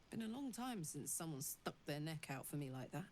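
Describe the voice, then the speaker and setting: A plain-spoken, warm-hearted tiefling fighter from a video game. She speaks slowly with big swings in pitch and open, grateful feeling. There is faint noise behind her. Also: very animated. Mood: moved, grateful.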